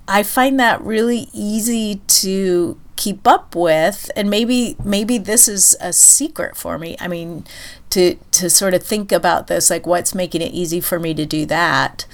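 Lady podcaster w a stuffy nose, lowish voice, lots of ums n pauses, just chatting. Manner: thinks aloud with many pauses and fillers. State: nasal from a cold. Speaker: podcast co-host. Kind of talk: casual chat.

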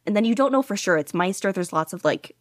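A woman's voice: medium pitch, expressive, quick pace, no room sound, clean studio sound. A woman hosting a casual podcast chat, talking enthusiastically with lots of fillers and laughter. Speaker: podcast host.

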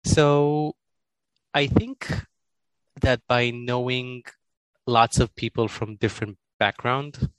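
A middle-aged man with an Israeli accent, Hebrew his first language, answering interview questions in a halting, thoughtful way with frequent laughs over a video call. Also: mid-range voice, clean tone, no echo, rough recording. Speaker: guest.

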